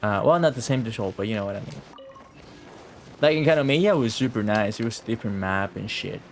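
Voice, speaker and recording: A man's voice: lowish voice, expressive, fast talker, a little hiss or hum. A man giving casual, offhand live game commentary, swearing and muttering to himself as he plays. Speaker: game streamer.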